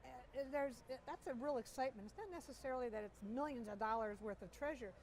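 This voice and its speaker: A middle-aged woman telling stories with animated enthusiasm as a guest in an interview, recorded at an outdoor air show. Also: fairly high pitch, quick pace, slight background noise. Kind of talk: storytelling interview.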